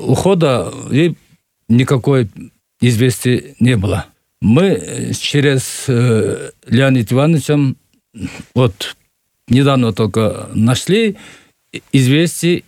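A man's voice: medium pitch, a little mellow, dry recording. Hesitant man. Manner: hesitant, halting, many fillers. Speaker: guest, relative of a missing soldier. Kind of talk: radio interview answers.

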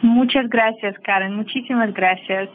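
A woman's voice: medium pitch, steady tone. Russian-accented woman on a phone line. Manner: halting, searching for words, with frequent fillers. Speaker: guest, language teacher and film translator. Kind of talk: casual interview over a call.

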